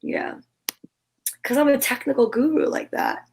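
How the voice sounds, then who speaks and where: Woman, co-host, high-pitched voice, casual conversational chat. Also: huge pitch swings, measured pace, creaky, darkish tone.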